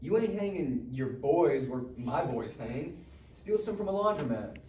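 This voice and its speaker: A man's voice: on the high side, lively intonation, measured pace, a little mellow. A man playing a spoiled, sardonic, reluctant teenage boy with crude slangy talk in a live comedy table read. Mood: balking. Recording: roomy, distant mic, faint noise.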